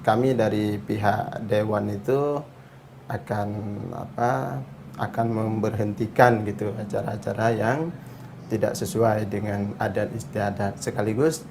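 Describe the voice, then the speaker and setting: A man speaking as a council member in an interview, halting and searching for words. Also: lowish voice, steady tone, vocal fry, a little mellow. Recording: faint background noise.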